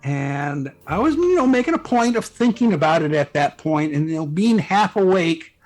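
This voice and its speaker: Male author guest, slightly high voice, informal and reflective interview talk with halting explanations, music underneath. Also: sing-song, darkish tone. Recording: music playing behind the voice. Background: background music.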